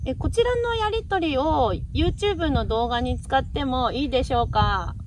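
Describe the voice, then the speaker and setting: A woman's voice: sits high, normal speed, a little mellow. A cheerful young woman chatting and making formal radio calls outdoors, with a giggly manner. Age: young adult. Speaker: amateur radio vlogger. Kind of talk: casual radio contact chat, half formal call procedure. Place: outdoors in a grassy hilltop park. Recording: outdoor background noise.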